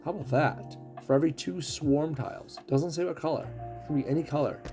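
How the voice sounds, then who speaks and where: A man casually explaining a game character's abilities, throat rough as he is losing his voice. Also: a little high, a little fast, dark tone, soft background music. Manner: explanatory, walks through ability text. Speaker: game streamer.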